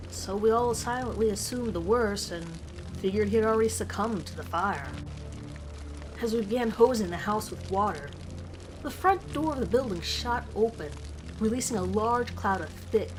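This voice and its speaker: A woman reading a scripted creepy first-person story as a horror narrator. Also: medium pitch. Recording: music behind the voice. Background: music.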